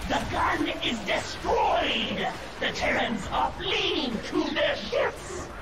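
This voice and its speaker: A woman's voice: high voice, lively intonation, slow pace, heavy background noise, exaggerated voice. A woman playing a fierce, devoted alien insectoid brood mother in a video game, declaiming with reverence through monstrous creature processing. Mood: fierce triumph. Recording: distant, echoing, loud music behind.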